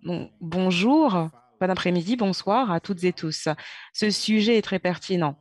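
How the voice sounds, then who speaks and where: Woman, conference interpreter, lowish voice, halting, self-correcting, dry close sound. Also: a little mellow, dry recording. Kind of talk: simultaneous interpretation of conference speeches.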